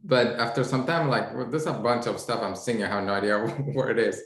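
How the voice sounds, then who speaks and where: A man's voice: mid-range voice, fairly quick. A man from Spain with a Spanish accent, introducing himself on a video call panel, hesitant and full of fillers. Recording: echoey room.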